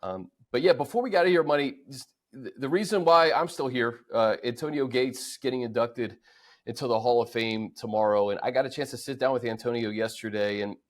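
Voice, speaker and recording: A man's voice: mid-range voice, fairly quick. An American man with a mid-range voice hosts casual sports talk. He speaks conversationally, leaning on key words for emphasis as he runs through stats and takeaways. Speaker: sports podcast host.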